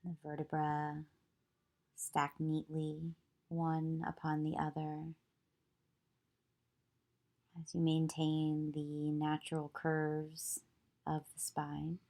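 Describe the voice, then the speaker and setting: A woman guides a meditation in a low, mellow voice with a very flat, even pitch. She speaks in short, soothing phrases with long pauses between them, like a yoga teacher leading deep relaxation.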